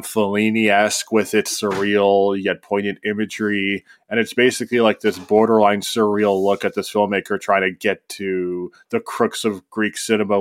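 A man co-hosting a film podcast, talking in a measured, thoughtful way with frequent pauses and ums. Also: lowish voice. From born in South Africa, raised in Canada. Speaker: podcast co-host. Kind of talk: casual film discussion.

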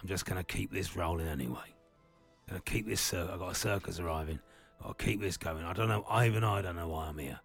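A man's voice: low voice, fast, gravelly, breathy edge, soft background music, voice pushed down, full character voice. A man voicing a weary, fed-up man stuck running a failing attraction, glum and mumbling.

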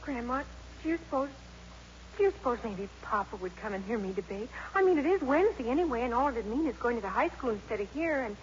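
A high, very animated teenage schoolgirl, played by a woman, earnest and flustered. She frets breathlessly in the manner of 1950s radio comedy, on a dull vintage broadcast. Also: fast talker, a little hiss or hum, close to an everyday voice. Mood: eager, anxious. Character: earnest, fretful teenage schoolgirl, lovesick and easily embarrassed.

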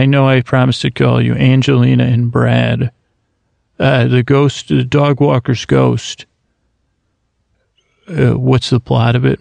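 A man hosting a podcast, rambling through a self-deprecating comic monologue that keeps trailing off and restarting, with quick imagined dialogues. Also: mid-range voice, creaky, a little mellow, no room sound. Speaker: podcast host.

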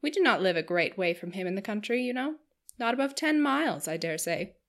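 A woman reader voicing a cheerful, giddy young wife who gushes in quick, eager chatter. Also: medium pitch, animated, a bit bright. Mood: gushing. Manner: gushing, breathless chatter.

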